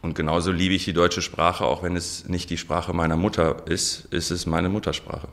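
A man's voice: low voice, little pitch movement, normal speed, fairly bright tone. A man in his forties, a sociologist giving a radio interview, thinking aloud with frequent ums and restarts. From Germany. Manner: hesitant, many ums, restarts. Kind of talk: radio interview, thoughtful answers.

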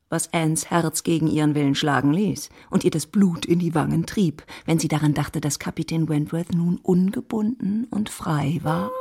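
A woman narrating a literary period radio play as a wry, intimate storyteller, with a creaky edge to the voice. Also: lowish voice.

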